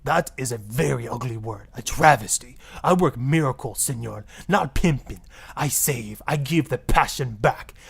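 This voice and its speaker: A man's voice: mid-range voice, moderate pace, gravelly, husky. A man voicing a self-righteous, grandiose marriage guru with a put-on Latin accent on a parody radio talk show. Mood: indignant, proud. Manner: grandiose, preachy, easily offended.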